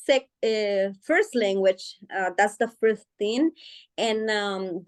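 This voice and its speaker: A woman whose first language is Spanish, talking as an author guest in a casual interview, hesitant with many fillers and restarts, often laughing as she speaks. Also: a little high. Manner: emotional, laughs while speaking.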